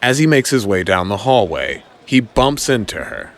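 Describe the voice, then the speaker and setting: A man's voice: on the low side, big pitch swings, moderate pace, a bit bright. A man narrating a scripted audio comic story in a dramatic storytelling style.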